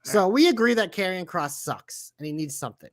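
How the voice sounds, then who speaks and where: A man with a Boston accent co-hosting a casual wrestling podcast, loud and profane, slipping into shouted impressions. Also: sits high, expressive, fairly quick. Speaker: podcast co-host.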